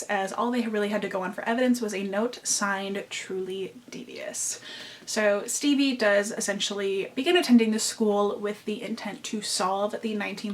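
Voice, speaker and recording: A young woman with a nasal Midwestern American accent talks through her book picks at a medium pitch. She gushes warmly and doubles up words for emphasis, like a chatty book vlogger. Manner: gushing, emphatic repeats. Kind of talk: enthusiastic book recommendations.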